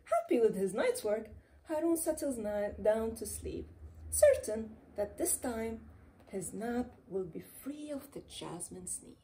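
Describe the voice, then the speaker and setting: Female storyteller for a picture book: soft-toned, expressive and dramatic, with swooping delivery. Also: fairly high pitch, slow, a little hiss or hum, rough recording. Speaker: children's author reading her own book aloud. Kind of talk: animated storybook read-aloud.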